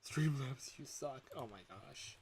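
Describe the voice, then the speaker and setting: A man streamer chatting casually, thinking aloud in a halting, rambling way. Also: medium pitch, gravelly, darkish tone, slight background noise. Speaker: livestreamer and fangame developer. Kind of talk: casual livestream chat. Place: livestream at a computer.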